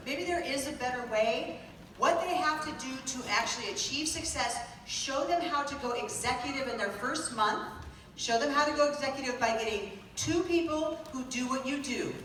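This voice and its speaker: A woman speaks upbeat and persuasive from a convention stage into a handheld mic. Her tone is bright and a bit high. The recording comes from far back in the room and is full of hall echo with a faint hiss. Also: animated. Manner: upbeat, persuasive, with pauses for a live interpreter. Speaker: corporate presenter. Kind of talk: stage presentation to a sales audience. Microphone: handheld mic, recorded from far in the audience. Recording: echoey hall taped from far off.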